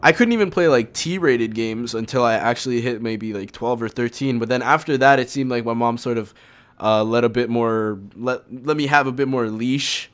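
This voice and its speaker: A young man with a clear, smooth voice and middling pitch hosts a laid-back gaming conversation. He talks informally and rambles as he goes, often qualifying what he says. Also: normal speed. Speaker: host of a gaming video series. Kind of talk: casual chat over gameplay.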